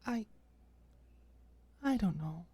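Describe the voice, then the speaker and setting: A woman voicing a gentle, hesitant young woman in an ASMR audiobook, mumbling softly and reassuringly. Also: medium pitch, animated, breathy edge, no echo, pitched above the performer's own voice, full character voice. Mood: hesitant, worried. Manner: soft mumbling, halting. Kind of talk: audiobook character dialogue, ASMR.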